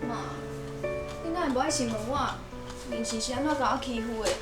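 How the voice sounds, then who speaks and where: A woman's voice: on the high side, a little fast, over loud music, natural voice. A woman acting in a TV drama as an aggrieved, confrontational young wife and mother, with a Taiwanese accent. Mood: wounded, defensive. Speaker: actress in a family drama.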